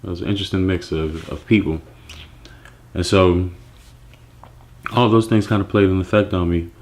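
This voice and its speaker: A man from Nigeria, raised in the United States, telling his personal story as a clothing brand founder, reflective and relaxed with frequent fillers and restarts. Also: sits low, normal speed.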